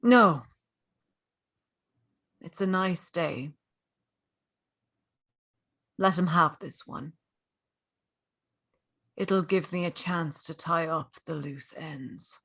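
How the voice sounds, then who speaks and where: A woman acts out a regretful figure of authority in an audio drama. Her fairly low voice is measured and deliberate, taking the lines very slowly. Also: gravelly, a bit bright, slightly rough, full character voice. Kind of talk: table read of an audio drama script.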